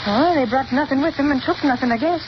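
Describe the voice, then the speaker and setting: A nervous, jittery rooming-house cleaning woman voiced by a woman, high-pitched and shrill. Heard on a dull, hissy old radio broadcast. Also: quick pace, lightly acted. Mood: flustered. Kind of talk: 1930s radio drama acting.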